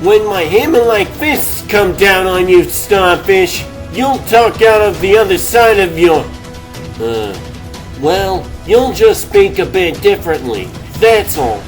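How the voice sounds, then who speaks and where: A man's voice: sits high, very animated, takes it slowly, over music, exaggerated voice, poor audio. A man playing a boastful, bumbling animal superhero in a comic dub, wordy and blustering.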